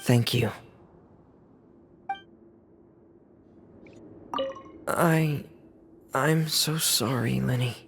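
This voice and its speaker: A woman voicing a gentle, weakened, apologetic teenage boy, soft-spoken and hesitant, in a game dub. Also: a bass voice, slow pace, slight background noise, full character voice. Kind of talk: English game dub acting.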